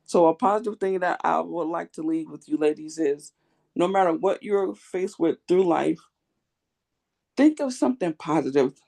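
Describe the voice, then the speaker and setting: A woman's voice: sits low, creaky, warm tone. A woman talking earnestly and encouragingly about her mindset, sharing on a video call. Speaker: webinar participant.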